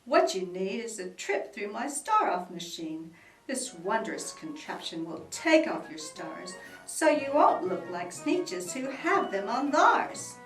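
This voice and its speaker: A woman voicing a sly, smooth-talking male salesman creature in a storybook reading, with a wheedling sales-pitch manner. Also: sing-song, slow pace. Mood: coaxing. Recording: roomy echo, mic at a distance, faint music.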